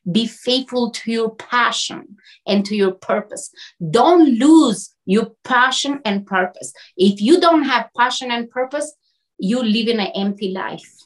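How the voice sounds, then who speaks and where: A woman's voice: fairly high pitch, lively intonation, measured pace. A woman from Albania with an Albanian accent, an interview guest and coach giving earnest motivational talk in short emphatic phrases.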